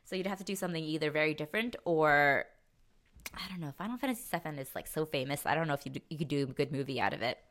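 A woman's voice: low-pitched, animated, racing, a bit bright. A young woman co-hosting a podcast, chatting casually about pop culture and rambling as she thinks aloud. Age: young adult. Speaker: podcast co-host.

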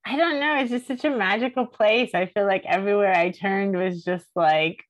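A woman's voice: mid-range voice, normal speed, dry recording. A woman hosting a casual, chatty conversation in an informal, enthusiastic way. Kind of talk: casual chat.

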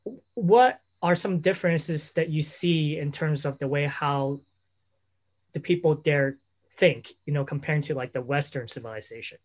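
A man's voice: a little high, moderate pace. A man interviewing a guest in casual conversation, rambling through his questions and leaning on fillers like "you know" and "right?".

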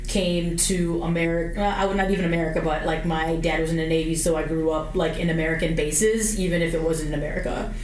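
A woman's voice: low voice, steady tone, fast, few pauses, a little mellow, slight background noise. Laid-back woman in a roomy space. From Philippines. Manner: loose, swears casually, laughs a lot. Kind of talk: casual group discussion.

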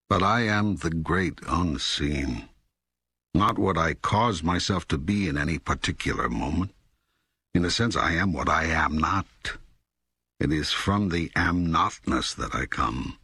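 An older man playing a patient, all-knowing God in an audiobook dialogue, speaking in a deliberate, emphatic, teaching manner. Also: low-pitched, bright, forceful tone, husky, no echo, heavily acted.

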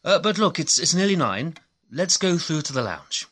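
A man's voice: on the high side, sing-song, normal speed, a bit bright, breathy edge, heavily acted. An actor playing an anxious, eager-to-please husband in an audio drama, hesitant, earnest and devoted to his troubled wife. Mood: nervous, welcoming.

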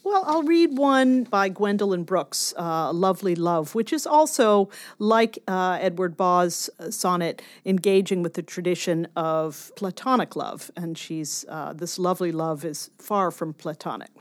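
A woman's voice: on the low side, a little slow, hardly any pauses. A woman scholar being interviewed about her work, speaking in an enthusiastic, academic way. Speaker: guest scholar and author. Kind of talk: interview discussion of literature.

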